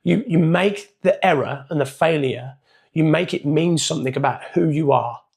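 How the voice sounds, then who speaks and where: A man with a hoarse, croaky voice giving a casual, chatty motivational coaching talk. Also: on the high side, moderate pace. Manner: casual, chatty, loosely structured. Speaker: performance coach.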